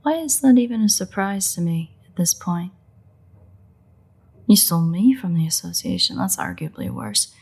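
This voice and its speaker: A woman playing a sardonic, predatory vampire in an intimate roleplay audio drama, with a husky, breathy voice and a playful, drawling delivery. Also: fairly low pitch, very animated, moderate pace, darkish tone, exaggerated voice. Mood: incredulous, sarcastic. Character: teasing, unrepentant female vampire, sardonic and predatory.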